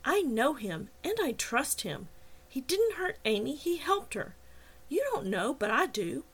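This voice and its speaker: A woman's voice: high-pitched, very animated, unhurried, husky, voice pushed up, heavily acted. A woman voicing an angry, stubborn young woman in an audiobook, speaking in an emphatic, protesting way. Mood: indignant. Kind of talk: audiobook character dialogue.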